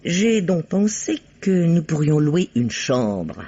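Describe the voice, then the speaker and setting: An elderly, exasperated but doting spinster in an old-fashioned radio play. The voice is husky and leaps about theatrically as it scolds and chatters primly. The recording is dull and muffled. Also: female voice, deep voice, very animated, slow, warm tone, heavily acted. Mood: brisk, exasperated. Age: 70s.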